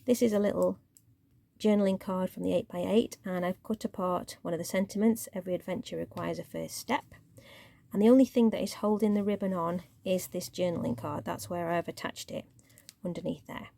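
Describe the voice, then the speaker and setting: A woman hosting a crafting channel, chatting casually through her project, a little croaky and sometimes dropping to a near-whisper. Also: fairly low pitch, brisk. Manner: chatty, relaxed show-and-tell, drops to near-whisper while handling paper. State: croaky voice, clearing throat.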